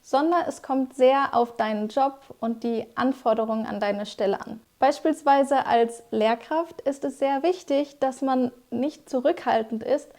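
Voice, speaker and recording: A young woman who is a German teacher, explaining vocabulary to viewers in a clear, scripted lesson style. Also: sits high, unhurried, few pauses, darkish tone.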